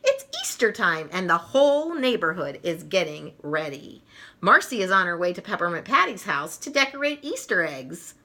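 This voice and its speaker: A woman reading a children's story aloud in an expressive, playful way. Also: lowish voice, moderate pace. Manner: expressive, playful, chuckling asides. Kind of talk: animated read-aloud storytelling.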